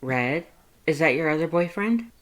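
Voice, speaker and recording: A narrator voicing a polite young human in a fan fiction audiobook, curious and deadpan with a sly streak. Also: medium pitch, normal speed, pitched above the performer's own voice, natural voice. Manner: deadpan, inquisitive.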